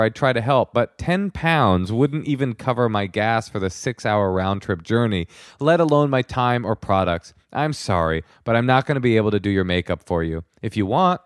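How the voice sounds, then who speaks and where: A man's voice: lowish voice, smooth, no echo, studio quality, natural voice. A man playing a put-upon British woman makeup artist in a dramatic reading of texts, polite, clipped and firm. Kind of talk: dramatic reading of text messages.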